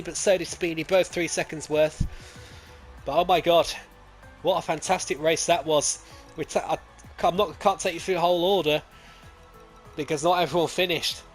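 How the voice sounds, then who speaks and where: A high-pitched man calls a simulated motor race live, excitable and quick to jump up when cars collide. He drifts into rambling asides and corrects himself as he goes. Clear music plays underneath his commentary. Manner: excitable, voice jumps up at crashes and overtakes. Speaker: sim racing league commentator.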